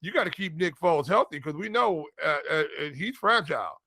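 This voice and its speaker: Bright, higher-voiced man joking about sports. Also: lively intonation, fairly quick, no echo, studio quality. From Indiana, United States. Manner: joking, folksy, stammering repeats. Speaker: sports podcast co-host. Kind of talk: casual fantasy football chat.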